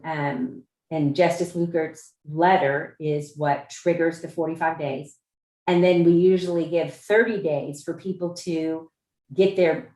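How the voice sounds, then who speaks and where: A woman chairing a meeting on a video call, informally explaining procedure in a halting way, often rephrasing her points. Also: sits low, a little mellow. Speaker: chair of a judicial nominating commission meeting. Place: indoor room on a Zoom conference call. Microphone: video call microphone. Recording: roomy, echoing video-call audio.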